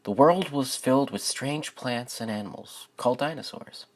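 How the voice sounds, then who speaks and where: A man's voice: medium pitch, normal speed, a little mellow. A young man who hosts a channel and reads his own writing aloud for viewers. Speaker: channel host and children's book author. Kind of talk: casual spoken introduction to viewers.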